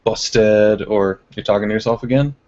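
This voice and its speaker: A man's voice: fairly low pitch, fairly even intonation, normal speed, a little mellow. A young man co-hosting a casual political podcast from home over a video call, with a dry, wry humor. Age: young adult. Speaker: podcast co-host. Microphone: earbud headset on video call. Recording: dull lo-fi video-call audio.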